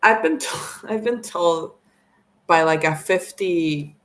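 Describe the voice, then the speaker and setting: A woman's voice: lowish voice, takes it slowly. A young woman from Mexico whose first language is Spanish, casually introducing herself to the camera, rambling with lots of "like" and "um". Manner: rambling, lots of "like" and "um", self-conscious. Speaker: vlogger. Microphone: camera mic, talking to camera.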